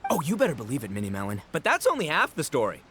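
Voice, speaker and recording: An actor playing a brash, boisterous young gang boss in a video game, bombastic, swaggering and full of slang. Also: male voice, mid-range voice, wildly swooping pitch, very fast, background noise. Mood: boastful. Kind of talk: animated video game voice acting.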